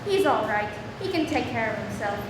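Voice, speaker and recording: A woman's voice: a little high, lively intonation, brisk, lightly acted. A woman playing a polite, reassuring ticket clerk in a radio play. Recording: very echoey room with clear noise.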